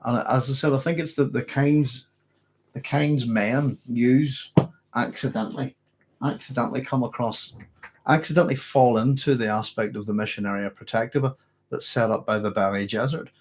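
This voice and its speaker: A man's voice: medium pitch, steady tone, moderate pace. A man hosting a late-night live science fiction Q&A, chatting loosely and correcting himself as he answers viewers' questions. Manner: rambling, self-correcting, conversational. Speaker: host of a science fiction channel.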